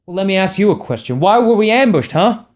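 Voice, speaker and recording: A man's voice: high voice, very animated, fast talker. A man playing an intense, angry heist leader in an amateur audio drama, commanding and confrontational. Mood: angry, demanding.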